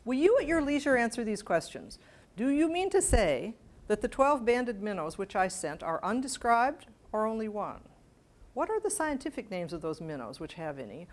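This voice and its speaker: An older woman giving a public lecture, reading letters aloud in a measured, precise way and adding informal asides. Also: on the high side, big pitch swings. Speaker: guest lecturer. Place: medium-sized library meeting room with seated audience. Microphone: lapel mic.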